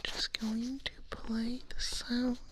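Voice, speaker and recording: A woman playing a gentle, reassuring dragon nurse in an ASMR roleplay, speaking in a soft breathy whisper with a soothing clinical manner. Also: on the high side, little pitch movement, voice pushed up. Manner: slow, soothing, reassuring clinical bedside talk. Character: gentle reassuring female dragon nurse giving hearing exam.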